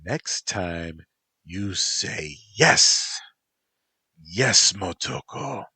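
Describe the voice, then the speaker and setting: A man's voice: lowish voice, big pitch swings, takes it slowly, slightly rough, no room sound, pitched above the performer's own voice, heavily acted. A man voicing a domineering, possessive young woman who swings from cooing to screaming. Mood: commanding.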